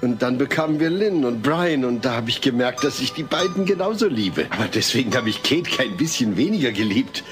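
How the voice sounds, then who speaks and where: A man's mid-range voice acting as a well-meaning family father in a comedy radio play. He is earnest and patient, with a very animated intonation, over clearly audible music. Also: medium pitch, brisk, hardly any pauses, close to an everyday voice. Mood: earnest, awkward. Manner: earnest, reasoning, hesitant when awkward. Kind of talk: scripted comedy audio drama.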